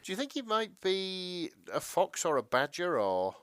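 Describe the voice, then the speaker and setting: Lively-pitched man in relaxed conversation. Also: measured pace, smooth, dry recording, clean studio sound. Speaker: podcast co-host. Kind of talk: casual chat.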